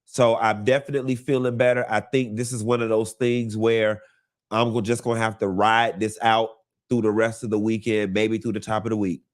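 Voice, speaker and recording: A congested man hosting a live gossip show, talking in a sassy, campy drawl, calling listeners honey and baby and swooping up high for emphasis. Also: little pitch movement, normal speed. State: congested from a cold. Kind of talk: casual campy gossip monologue.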